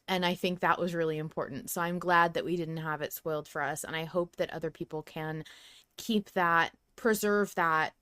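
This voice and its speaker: A woman's voice: deep voice, steady tone, normal speed, fairly bright tone, clear, resonant tone, no room sound, clean studio sound. A woman hosting a casual fan podcast, speaking in an emphatic, mock-dramatic way. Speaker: podcast host.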